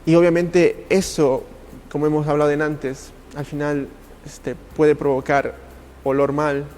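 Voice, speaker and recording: A man from Italy speaking as a ministry coach in a podcast interview, earnest and passionate, with halting, repetitive phrasing. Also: on the high side, flat delivery, a little mellow, a little hiss or hum.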